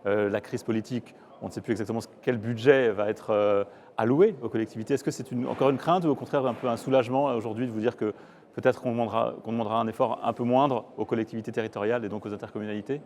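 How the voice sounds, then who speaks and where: A man's voice: mid-range voice, steady tone, fast talker, darkish tone, a little hiss or hum. A man interviewing, feeling his way through long questions with hesitant, roundabout phrasing.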